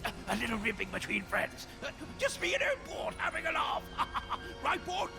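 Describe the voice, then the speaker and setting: A shrill, creaky bullying tavern boss. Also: male voice, very animated, normal speed, full character voice. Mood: nervous bluster. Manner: barking, scornful. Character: bullying, foul-tempered, blustering tavern boss. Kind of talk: broad animated game cinematic acting. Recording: voice over loud cinematic music.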